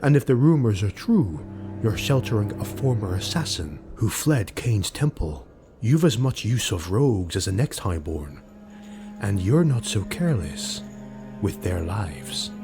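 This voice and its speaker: A man's voice: lowish voice, big pitch swings, measured pace, over music. A man voicing a sly, mocking rogue retainer in an audiobook, deferential and insinuating.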